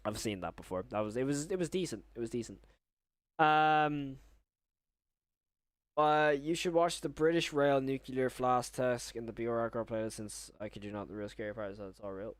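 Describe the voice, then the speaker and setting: A man's voice: medium pitch, moderate pace, dry recording. A young man chatting casually on a live stream as he reacts to videos and chat, rambling and often rephrasing himself mid-thought.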